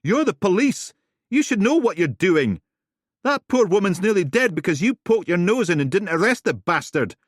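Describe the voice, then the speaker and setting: A man voicing an angry, defensive young mother who shouts accusations. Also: medium pitch, big pitch swings, normal speed, dry recording, studio quality, pitched above the performer's own voice.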